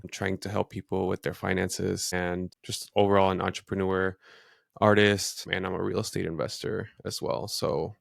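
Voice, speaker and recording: A man's voice: sits low, gravelly, no room sound, studio quality. A man in his thirties co-hosting a podcast, chatting informally and often adding "you know". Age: 30s. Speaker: podcast host. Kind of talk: casual conversational podcast chat.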